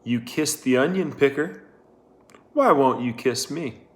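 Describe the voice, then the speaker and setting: A man voicing a drunken, menacing small-town sheriff in a storybook, leering in a slow drawl. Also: mid-range voice, expressive, natural voice.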